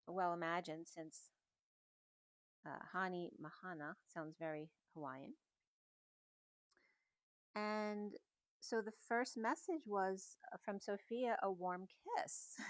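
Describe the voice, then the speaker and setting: A middle-aged woman giving a casual, meandering spiritual reading at home, in a soft and musing way. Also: medium pitch, dry recording. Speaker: spiritual reader. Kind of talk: casual channeled message reading.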